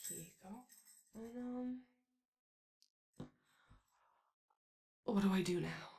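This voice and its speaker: Woman voicing a strained, creaky, anxious robot guy. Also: medium pitch, full character voice. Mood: nervous, caring. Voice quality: strained, raspy, creaky, as if voice lost from yelling. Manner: hesitant, nervous, stammering, apologetic. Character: anxious, gentle male animatronic daycare robot with strained voice. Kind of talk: ASMR roleplay comfort audio.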